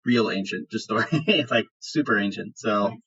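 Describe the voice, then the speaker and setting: A man's voice: lowish voice, moderate pace, a bit bright, slightly rough. A man working as game master, narrating a tabletop roleplaying game in an improvised, conversational way. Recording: dull lo-fi sound.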